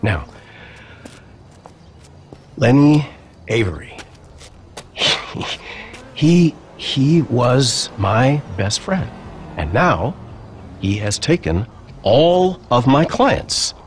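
An actor playing a washed-up, boastful American real estate agent in a game, salesy, halting and needy. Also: male voice, medium pitch, very animated, slight background noise. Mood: awkward pleading.

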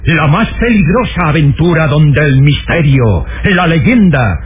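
A man's voice: on the high side, lively intonation, unhurried. A man announcing an old radio serial in a grandiose, declamatory dramatic style. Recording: lo-fi radio recording under loud music. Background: loud theme music.

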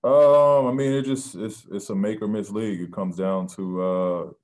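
A man in his thirties answering postgame questions at a press conference, soft-spoken and halting with many ums and restarts, on a video call. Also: on the low side, steady tone. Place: press room with sponsor backdrop.

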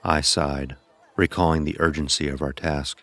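Very deep-voiced man narrating a story. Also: fairly even intonation, normal speed, fairly bright tone, dry recording, soft background music. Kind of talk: first-person scripted story narration.

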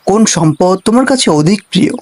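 A man's voice: high-pitched, animated, fast, a little mellow. A man playing a solemn, formal royal guard in disguise in a cartoon fable. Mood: solemn questioning.

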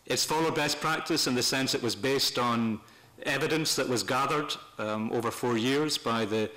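An older man presenting semi-formally to a meeting, speaking off the cuff in a measured, halting way with pauses mid-phrase. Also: mid-range voice, pushed and bright, low-quality recording. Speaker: panel speaker at a human rights meeting. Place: parliament committee room, indoors. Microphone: desk gooseneck microphone.

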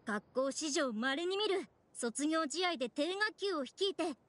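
Bubbly anime game guide girl, super high, polite and eager. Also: animated, measured pace, fairly bright tone, dry recording. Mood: proud, excited. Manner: polite, chatty, eager to explain. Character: bubbly, apologetic, helpful young girl guide. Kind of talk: Japanese anime game voice acting.

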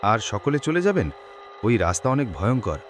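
Man acting anxious young cartoon man, animated delivery. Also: mid-range voice, normal speed, slight background noise, natural voice. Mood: polite, reassuring. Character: frightened, polite young man haunted at night. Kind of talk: Bengali cartoon horror story dialogue.

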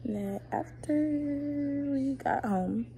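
A young woman in her twenties vlogging at home while sick with a cough, talking softly to her phone. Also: very slow, crowd noise. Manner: low-key, quiet and relaxed. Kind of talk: casual vlog talk to camera. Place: indoors at home, living room. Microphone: phone held close, front camera. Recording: noisy home recording.

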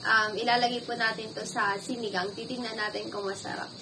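A woman's voice: fairly high pitch, steady tone, a little fast, noisy background. A young woman hosting a casual cooking vlog, chatty, polite and playful, often giggling as she talks to the camera indoors. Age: young adult. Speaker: cooking vlogger. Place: dark indoor room, seated at a table.

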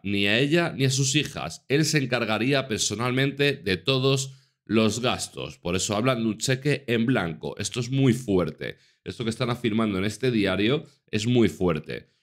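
A man in his thirties from Spain commenting on news articles to his viewers in an earnest, casual manner, stressing points by repeating them. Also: mid-range voice. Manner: earnest, repeats for emphasis, addresses viewers directly. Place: small room indoors.

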